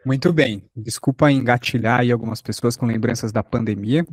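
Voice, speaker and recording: A man with a Brazilian accent teaching a workshop informally, thinking aloud in a halting way. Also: medium pitch, husky, dry recording. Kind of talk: informal spoken lesson.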